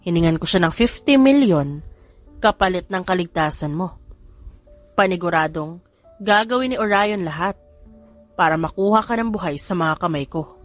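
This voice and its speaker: A woman's voice: fairly low pitch, animated, normal speed, long pauses, natural voice. A woman voicing a bitter, taunting male kidnapper in an audiobook drama, with music behind. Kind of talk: audiobook character dialogue.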